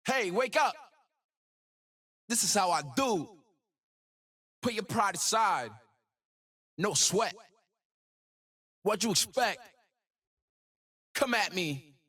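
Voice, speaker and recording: A young, ambitious hip-hop hero from a video game. His voice is high and swings around in pitch, with slow, rhythmic, slangy swagger and total self-belief. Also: animated, pushed and bright, breathy edge, heavily acted. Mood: taunting. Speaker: video game voice actor and rapper. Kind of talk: game voice lines.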